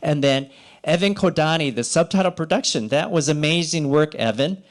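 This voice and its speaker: Older man, halting informal speech. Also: fairly high pitch. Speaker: event organizer giving thanks at an awards ceremony.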